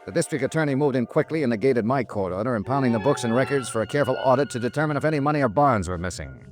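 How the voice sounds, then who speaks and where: An actor playing a tough, terse, sardonic investigator in a 1950s radio noir drama, in both dialogue and narration. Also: male voice, mid-range voice, animated, rapid-fire, music in the background, lightly acted. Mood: matter-of-fact narration. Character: tough, blunt hardboiled insurance investigator.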